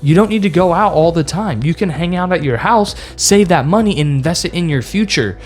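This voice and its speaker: Young guy vlogging money tips, kinda high voice, earnest and repetitive, faint music under it. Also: animated, brisk, darkish tone. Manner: earnest and repetitive, lecturing viewers directly. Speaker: finance vlogger. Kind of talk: casual advice vlog talking to camera.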